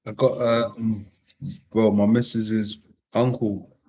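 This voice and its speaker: A man hosting a live-streamed panel chat. He talks casually, repeating phrases and giving slangy shout-outs to viewers. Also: on the low side, little pitch movement, takes it slowly. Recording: dull, lo-fi stream audio.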